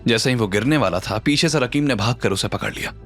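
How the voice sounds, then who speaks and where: A man's voice: medium pitch, a little fast, a bit bright. A man narrating a scripted audio drama story in a dramatic style. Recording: music under the voice.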